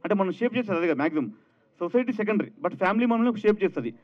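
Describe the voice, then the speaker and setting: Thin, phone-like event audio carries a man speaking very quickly in a fairly high voice. He explains himself earnestly, as if answering the press. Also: big pitch swings. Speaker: film director.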